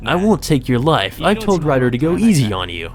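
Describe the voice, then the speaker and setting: A man's voice: medium pitch, sing-song, brisk, soft background music, pitched above the performer's own voice, natural voice. A man voicing a cruel, smug young male bully from a visual novel in a taunting, theatrical way.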